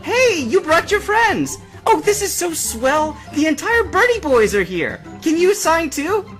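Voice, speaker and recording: A man voicing a gushing female fan in disguise with a nasal, shrill voice. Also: sing-song, measured pace, a bit bright, soft background music, heavily acted.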